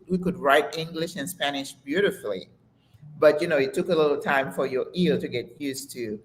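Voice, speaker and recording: An older woman from Haiti, a retired nurse, telling stories in a relaxed, casual conversation with many fillers. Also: low-pitched, slight background noise, rough recording.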